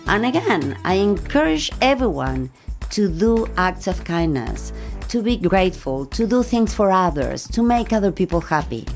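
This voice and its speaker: A woman's voice: mid-range voice, very animated, normal speed, soft background music. A middle-aged woman with an Argentine Spanish accent, talking reflectively as an interview guest. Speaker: podcast guest, life coach.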